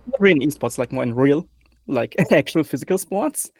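A man's voice: fairly high pitch, animated, fairly quick, crowd noise. A man from Germany with a German accent, chatting as a podcast guest, joking and laughing often, repeating words as he talks. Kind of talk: casual chat.

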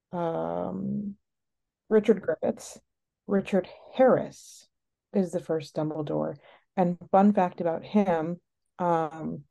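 A sniffly woman chats casually as a podcast co-host, rambling and frank. Her voice sits on the low side with plenty of gravelly fry, and she takes her time getting the words out. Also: mellow. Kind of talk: casual chat.

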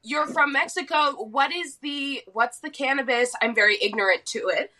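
A woman's voice: sits high, moderate pace, sharp tone, poor audio. A woman co-hosting a podcast in casual chat, enthusiastic and swearing freely. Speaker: podcast co-host.

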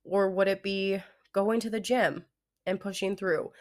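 A woman's voice: medium pitch, steady tone, unhurried. A young woman with a head cold, her voice nasal and congested, chatting casually as a fitness coach giving advice on her podcast with lots of "like" and "you know".

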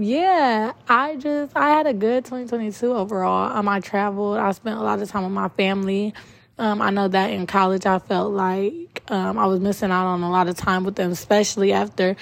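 A young woman in her twenties with a raspy voice, just up from a nap, hosting her own podcast in a chatty, rambling monologue with many ums. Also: medium pitch, no room sound. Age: 20s. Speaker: podcast host. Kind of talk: casual solo podcast monologue.

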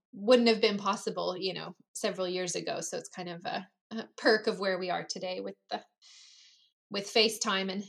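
A woman's voice: lowish voice, vocal fry. Chatty woman, casual talk. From United States. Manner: chatty, loose, with many fillers. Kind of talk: casual conversation.

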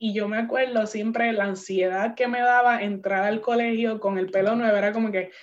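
A woman's voice: medium pitch, steady tone, moderate pace. Guest: young woman, candid storyteller who slips into English, slightly dull audio. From United States. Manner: candid, mixes English words into Spanish, many fillers. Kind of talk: personal storytelling in an interview.

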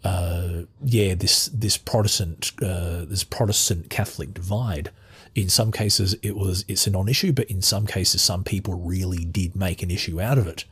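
An Australian man hosting a casual interview, talking in a halting way with many restarts as he searches for words. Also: low voice, a bit bright, husky.

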